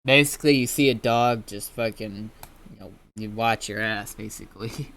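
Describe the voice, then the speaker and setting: A man with a fairly low voice talks as the host of a gaming livestream. He is informal and sweary, rambling from point to point as he chats. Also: normal speed, gravelly, a bit bright. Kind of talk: casual gaming stream chat.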